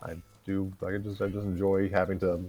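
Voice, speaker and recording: A man's voice: sits low, level tone, moderate pace, warm tone, faint music behind. A man chatting casually as co-host of a livestreamed story-reading show, with a dry, joking manner. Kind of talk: casual group chat on a livestream.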